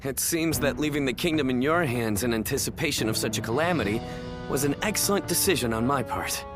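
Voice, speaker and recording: An actor playing a noble, dutiful young lord of an ancient kingdom in a game cutscene, formal and considerate. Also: male voice, medium pitch, lively intonation, fairly quick, close to an everyday voice. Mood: resolute. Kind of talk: video game cutscene acting. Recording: loud orchestral music behind the voice.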